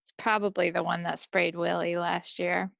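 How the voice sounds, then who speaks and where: A woman's voice: fairly low pitch, level tone, normal speed, vocal fry, fairly bright tone, no echo, dull audio. A woman co-hosting a podcast in a casual, folksy and chatty way. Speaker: podcast co-host. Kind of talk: casual chat.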